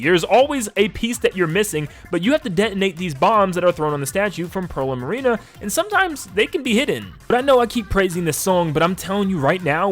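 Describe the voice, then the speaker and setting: A man with a fairly high voice hosts a video game retrospective over background music. His delivery is very animated and emphatic, stretching stressed words as he gushes about what he is reviewing. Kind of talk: enthusiastic game retrospective commentary. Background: game soundtrack music under the voice.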